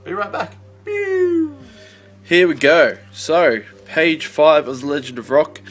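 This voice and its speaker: A young man vlogging casually about his comic work, relaxed and rambling with lots of fillers, a bit sniffly with his voice slightly off. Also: on the high side, takes it slowly, faint music behind. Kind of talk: casual video diary.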